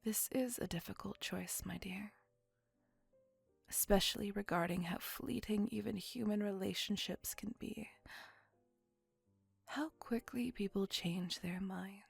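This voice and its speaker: A woman voicing an elegant, possessive, centuries-old female vampire in a soft, half-whispered ASMR roleplay, with formal, measured, old-fashioned phrasing. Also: mid-range voice, vocal fry, slightly rough, no echo, soft background music, exaggerated voice. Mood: wistful, longing. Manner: formal, archaic, measured phrasing with tag questions.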